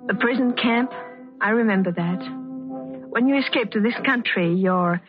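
A woman acting an anguished, secretive lover in a melodramatic 1940s-style radio drama, pleading and full of pauses. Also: on the high side, close to an everyday voice. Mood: fond, insistent. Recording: dull old radio recording, music behind.

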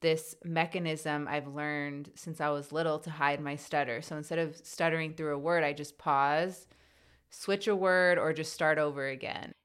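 A woman who stutters, interviewing a guest in an earnest, reflective way, with repeated sounds and pauses. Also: low voice, fairly even intonation, a bit bright, smooth. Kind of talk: conversational interview.

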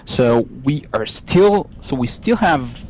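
A man's voice: medium pitch, lively intonation, takes it slowly, darkish tone, low-quality recording. Israeli guy on a podcast, lots of ums and restarts, slight hiss behind. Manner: hesitant, many fillers and restarts. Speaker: software engineer guest. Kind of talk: technical interview.

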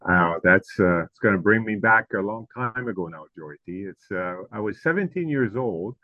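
A man's voice: on the low side, lively intonation, moderate pace, no echo, phone-quality sound. A middle-aged man from Quebec with a Quebec French accent, a French native speaker who searches for English words and uses many fillers as a guest in a casual interview. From Quebec, Canada.

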